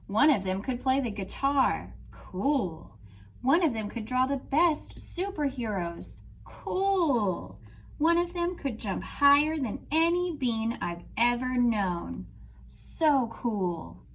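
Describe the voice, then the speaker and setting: Woman teacher, read-aloud storytime, slow and animated delivery. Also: fairly high pitch, slight background noise. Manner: slow, expressive storybook reading to children.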